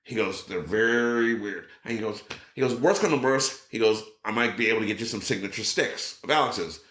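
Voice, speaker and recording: A middle-aged man telling a story in casual chat, acting out quotes with a raised voice. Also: a little fast. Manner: animated, acts out quotes with raised pitch. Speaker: podcast guest. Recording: roomy echo.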